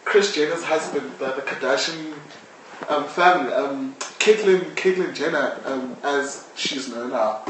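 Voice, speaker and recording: A man's voice: medium pitch, measured pace, a bit bright. A man with a South African accent explaining things as a guest in a live interview. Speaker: interviewee, audio drama maker. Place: echoey indoor space, live-streamed discussion. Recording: very echoey room, distant mic, faint noise.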